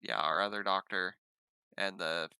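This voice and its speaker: A man's voice: low-pitched, steady tone, measured pace, pushed and bright, clear, resonant tone, dry recording. A man playing a tabletop game, chatting casually and lightly in character. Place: close, dry mic in an online game session.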